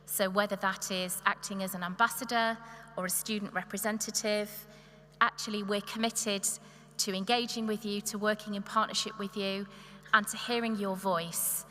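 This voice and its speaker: A woman's voice: mid-range voice, level tone, normal speed. A woman from the university staff giving a formal, encouraging welcome address in a large hall. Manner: warm, encouraging, listing points. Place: large hall with long reverb. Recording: echoey hall, faint noise.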